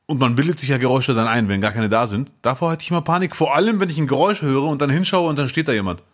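This is a man co-hosting a casual podcast, telling anecdotes and breaking into laughter now and then. His voice sits at an ordinary middle pitch. His delivery is loose and conversational. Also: lively intonation, rapid-fire. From Bielefeld, Germany. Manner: storytelling, laughs often. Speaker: podcast co-host.

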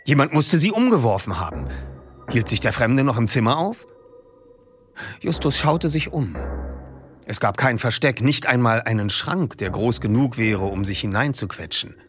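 A man's voice: mid-range voice, lively intonation, moderate pace, faint music behind. A man reading a book passage aloud as narrator, telling the story expressively.

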